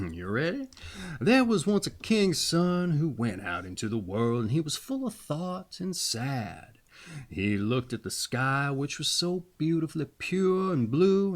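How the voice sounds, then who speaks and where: A man tells fairy tales in a mid-pitched voice that rises and falls constantly. His delivery is theatrical and folksy, with deliberate phrasing, like a storyteller who steps aside now and then to chat. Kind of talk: expressive fairy-tale reading with casual asides.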